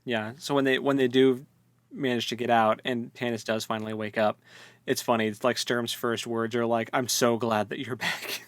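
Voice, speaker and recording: A man with a medium-pitched voice chats as a podcast co-host in a dry-sounding recording. He retells a story informally, with slangy phrasing and a joking, conversational way of talking. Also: little pitch movement, normal speed, fairly bright tone, no room sound. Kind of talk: casual book discussion chat.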